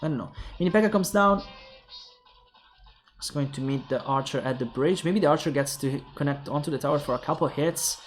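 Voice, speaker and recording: Italian guy casting a game, kinda high voice, quick play calls and musing to chat. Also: soft background music. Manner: rapid play-by-play, thinking aloud to viewers. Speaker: esports caster. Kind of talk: solo esports play-by-play commentary.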